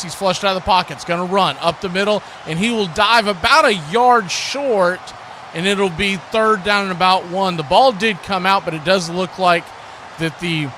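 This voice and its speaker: A man's voice: high voice, pushed and bright, a little hiss or hum. A man doing live football play-by-play, mixing clipped calls with folksy, chatty asides. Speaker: play-by-play announcer and stream host.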